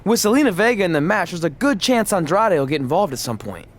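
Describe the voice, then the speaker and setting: A man's voice: high voice, sing-song, fast talker. An actor playing a self-assured, goofy young male wrestler in a game cutscene, playful and earnest. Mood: hopeful. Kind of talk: video game cutscene acting.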